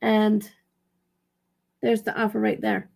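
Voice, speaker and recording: A tired, dry-throated woman with a raspy voice gives a casual tutorial, rambling and correcting herself as she thinks aloud. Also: mid-range voice, flat delivery, slow, a little mellow. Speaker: vlogger giving reselling tips. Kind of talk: casual screen walkthrough tutorial.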